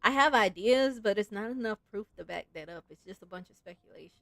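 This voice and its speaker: A woman's voice: mid-range voice, lively intonation, quick pace, gravelly, a bit bright, no room sound. A woman streamer giving casual, musing gameplay commentary and reading story text aloud. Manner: informal, musing, frequent "like". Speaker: game streamer. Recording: game music behind the voice.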